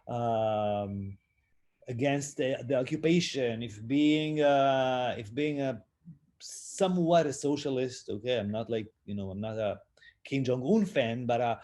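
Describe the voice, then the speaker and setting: Slow, hesitant man. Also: medium pitch, a little mellow. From Israel. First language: Hebrew. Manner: hesitant, many fillers, self-deprecating laughs. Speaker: panelist. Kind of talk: roundtable discussion.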